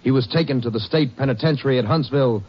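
A man reads a scripted epilogue in a solemn, measured style. His voice sits mid-range, and the sound is a dull, muffled 1950s radio recording. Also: medium pitch, a little hiss or hum. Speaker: narrator.

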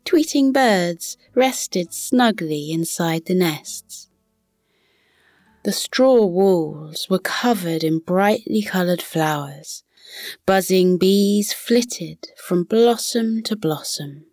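A woman reading a scripted children's bedtime story as narrator, in a soothing, measured manner. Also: lowish voice, lively intonation, a little mellow, dry recording, clean studio sound, soft background music.

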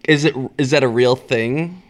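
A man's voice: mid-range voice, normal speed. A man co-hosting a podcast in casual chat, rambling and hesitating as he searches for words. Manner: rambling, hesitant, lots of fillers. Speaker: podcast co-host.

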